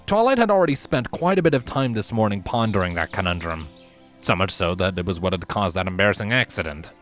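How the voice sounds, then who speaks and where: Lively low-voiced man narrating a story. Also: fast talker, faint music behind. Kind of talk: expressive scripted story narration.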